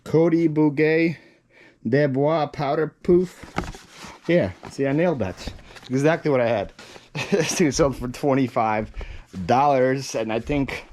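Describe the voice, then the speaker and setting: A young man raised in Ukraine speaks with a Ukrainian accent in a voice of ordinary middle pitch. He chats loosely and casually, stopping for ums and restarting his sentences as he goes. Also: a little mellow, a little hiss or hum. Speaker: reseller vlogger. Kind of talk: casual vlog talk. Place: warehouse.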